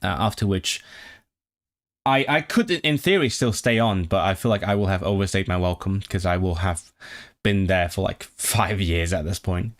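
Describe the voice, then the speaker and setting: A man's voice: low-pitched, normal speed. A young man streaming live, chatting casually with viewers about his tutoring work, stopping and restarting as he thinks aloud. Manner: hesitant, many restarts and fillers, thinking aloud.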